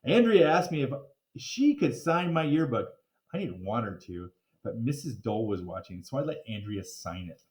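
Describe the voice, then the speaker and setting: A man reading a children's story aloud in a playful, expressive way with theatrical emphasis. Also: mid-range voice, normal speed. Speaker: reader of children's book. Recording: roomy, echoing room.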